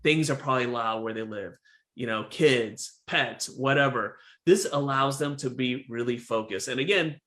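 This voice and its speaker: Animated chatty male podcast host. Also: medium pitch, moderate pace. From Texas. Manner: animated, repeats "again" and "right?". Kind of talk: casual interview chat.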